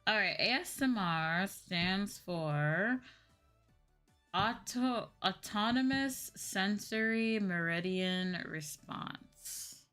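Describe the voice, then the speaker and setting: A woman's voice: mid-range voice, animated, drawn-out words, a bit bright, clear, resonant tone. A woman livestreamer chatting casually with her viewers, with music playing behind her. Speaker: livestreamer, VTuber host.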